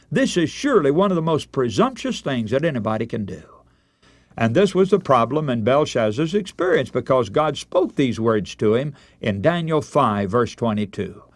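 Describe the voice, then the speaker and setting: A male radio preacher delivers a sermon as dramatic storytelling. His mid-pitched voice swings in animated, emphatic oratory, addressing listeners directly. The sound is close and dry, with very little room around it. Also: dry recording.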